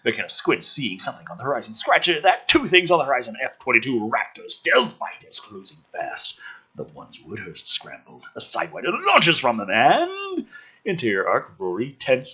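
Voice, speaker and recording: A man with a raspy voice reading a script aloud dramatically, mixed with casual, sardonic, sweary commentary. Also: medium pitch, pushed and bright, poor audio. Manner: sardonic, sweary, exasperated asides. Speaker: host reading and critiquing a film script draft.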